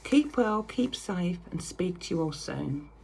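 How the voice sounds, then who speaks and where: A woman reading a picture story aloud to children with theatrical, drawn-out emphasis. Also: fairly low pitch, expressive, darkish tone, low-quality recording. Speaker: primary school reader. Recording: clear background noise.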